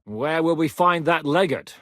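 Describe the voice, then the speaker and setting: A gruff, commanding rebel leader. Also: male voice, a little high, animated, unhurried, a bit bright, no room sound, clean studio sound. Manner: barks curt orders, swears often. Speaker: game character. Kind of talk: video game voice acting.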